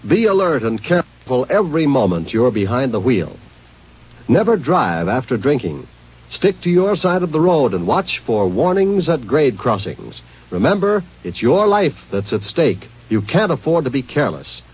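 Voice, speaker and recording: A man's voice: medium pitch, sing-song, normal speed, breathy edge. An American man reading a scripted safety announcement in 1950s radio style, earnest and emphatic. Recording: muffled vintage radio recording.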